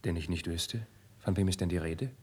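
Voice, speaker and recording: An actor playing a sensitive, impassioned young prince in a husky, breathy voice, with theatrical 1950s radio drama delivery. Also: male voice, low-pitched, fairly quick. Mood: guarded, hushed. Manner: theatrical, swinging between hushed and fervent. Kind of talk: classical German radio drama acting, 1950s.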